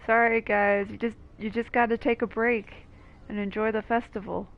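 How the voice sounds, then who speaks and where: A woman's voice: fairly high pitch, little pitch movement, normal speed, highs cut off, noisy background. A woman giving casual, wry commentary as she plays a game. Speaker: game streamer. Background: game music.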